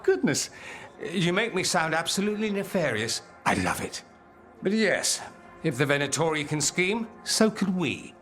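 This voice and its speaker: A man's voice: a little high, big pitch swings, moderate pace, fairly bright tone, faint music behind. An actor playing a suave, theatrical nobleman in a video game, a sardonic and articulate reformer with a flamboyant manner. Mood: wry, pleased. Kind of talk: video game dialogue acting.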